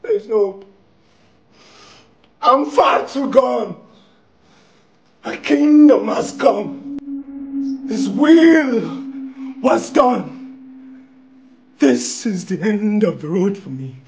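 Casting: young male actor as a desperate possessed man, strikingly high-voiced, anguished and halting, stage-style monologue. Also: animated, vocal fry, a little mellow, muffled recording, heavily acted. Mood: despairing. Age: young adult. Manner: anguished, halting, swinging into outbursts. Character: tormented, demon-possessed man, desperate and despairing. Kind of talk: dramatic monologue performance. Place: tiled living room, indoors. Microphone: phone a few steps away.

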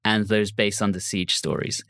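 A British man hosting a podcast, giving his review and chatting in a measured, articulate way. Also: lowish voice, fairly even intonation, fairly bright tone, dry recording, clean studio sound. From Britain. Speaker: podcast host.

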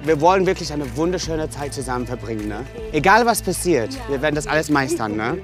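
Lively effusive man greeting a group. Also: a little high, brisk. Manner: effusive, animated, hugging and cheering. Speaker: tour guide and entertainer host. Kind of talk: warm greeting and encouraging chat. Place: outdoors at a forest car park. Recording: music bed under the voice.

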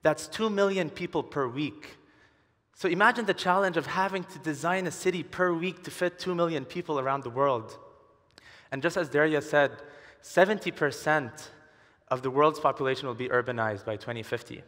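A man's voice: a little high, normal speed, a bit bright. A man in his twenties from Dubai giving a prepared talk on stage as a conference speaker, wearing a headset mic. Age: 20s. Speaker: conference speaker, entrepreneur and urban designer. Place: large conference hall stage, indoors. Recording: large hall reverb from the stage.

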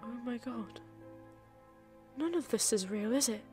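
A woman playing a warm, wry former investigator turned librarian in a full-cast audio drama. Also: on the high side, lively intonation, a little slow, soft background music. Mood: uneasy.

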